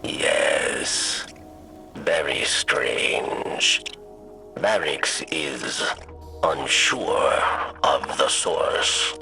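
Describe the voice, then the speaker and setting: An actor playing a wary, cryptic old alien scribe in a video game, gravelly and halting, with clipped, deferential phrasing. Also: male voice, a bass voice, expressive, full character voice. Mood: uneasy. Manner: halting, clipped, refers to himself in third person. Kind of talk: video game character dialogue. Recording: loud music behind. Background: game music.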